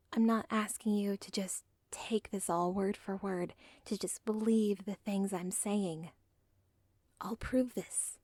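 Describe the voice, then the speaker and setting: Actress for obsessive young villain's daughter; intimate whisper, slow and breathy, dead-dry mic. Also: female voice, mid-range voice, darkish tone, dry recording. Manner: intimate, pleading, self-aware. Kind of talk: ASMR audio role play.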